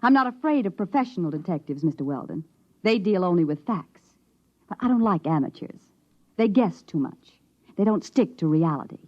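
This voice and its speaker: An American actress playing a coolly menacing young woman, crisp and commanding, in 1950s radio drama style. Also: lowish voice, expressive, moderate pace. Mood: smugly controlled. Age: young adult. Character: cool, menacing young woman holding a captive at gunpoint. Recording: muffled 1950s radio recording.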